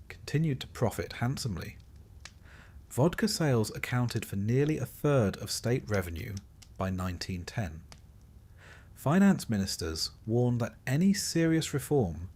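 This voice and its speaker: Low, husky male history narrator. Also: darkish tone. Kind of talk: scripted history sleep-story narration.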